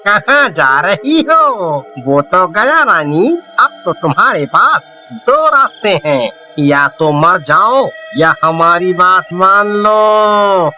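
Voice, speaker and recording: Man acting a shrill, mocking villain sidekick. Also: big pitch swings, pushed and bright, lo-fi sound, exaggerated voice. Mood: leering, threatening. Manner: taunting, drawn-out. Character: mocking, leering young male sidekick villain. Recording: music under the voice.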